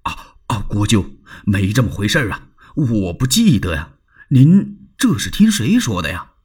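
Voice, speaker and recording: A man voicing a cautious, courteous lord in a traditional told story, guarded and evasive, with a husky voice. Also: on the low side, big pitch swings, normal speed, a little mellow. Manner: polite, guarded, placating.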